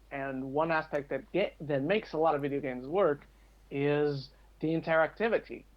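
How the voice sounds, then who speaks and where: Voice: medium pitch, lo-fi sound. A podcast guest in casual discussion, explaining step by step and repeating phrases for emphasis.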